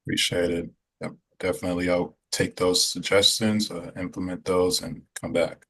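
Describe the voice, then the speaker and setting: Deep-voiced man, husky and hesitant, on a call. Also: level tone, moderate pace, pushed and bright. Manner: hesitant, many ums and uhs. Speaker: caller on a support call. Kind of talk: casual chat on a call.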